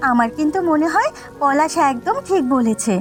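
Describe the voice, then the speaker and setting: A woman's voice: sits high, big pitch swings, normal speed, soft background music. A woman voicing an earnest, worrying village wife in a folk-tale cartoon. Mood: agreeable. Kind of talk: Bengali cartoon dialogue acting.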